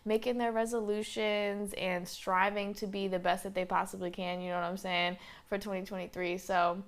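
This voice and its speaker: A young woman with a slight Southern twang hosts her podcast, rambling through it with lots of fillers. Her voice is smooth and clean, and her pitch sits in the middle range. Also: flat delivery, moderate pace. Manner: chatty, rambling, many fillers. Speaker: podcast host. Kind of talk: casual solo podcast monologue.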